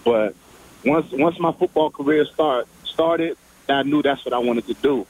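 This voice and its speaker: A man's voice: medium pitch, a little slow, darkish tone, slight background noise, low-quality recording. Young man telling his story by phone. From Liberia. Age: 20s. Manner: casual, rambling, with repeated restarts. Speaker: football player guest. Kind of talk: relaxed storytelling interview. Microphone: phone call. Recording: radio phone interview.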